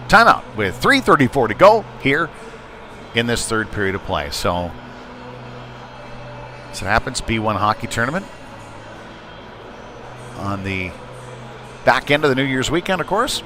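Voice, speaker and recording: A man's voice: medium pitch, big pitch swings, a little slow, long pauses. A man doing live ice hockey play-by-play in a conversational way, thinking aloud as he goes. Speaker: play-by-play sports commentator. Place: indoor ice rink. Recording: noisy live rink broadcast.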